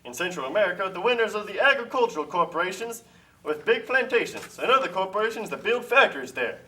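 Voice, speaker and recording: A man's voice: high-pitched, sing-song, fast talker, pushed and bright, distant mic, full character voice, poor audio. A man playing a pushy, confident free-trade adviser in an amateur puppet show, declaiming like a salesman. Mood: smug.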